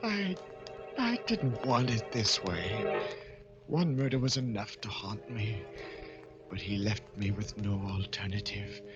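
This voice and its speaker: An actor playing a guilty, frightened man confessing in an old-time radio drama, swinging from hushed to panicked. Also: lowish voice, animated, takes it slowly, highs cut off, loud music behind the voice. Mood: haunted, grim. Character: guilty, frightened wife-poisoning man narrating his confession.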